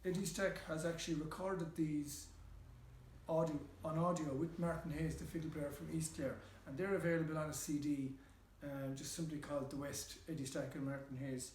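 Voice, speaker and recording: A male storyteller with a west of Ireland accent talks informally, as a guide on a literary tour would. His voice sits on the high side for a man. The sound is noticeably roomy, with clear echo around the words. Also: flat delivery, moderate pace, darkish tone, slight background noise. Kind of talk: informal guided-tour talk with readings aloud.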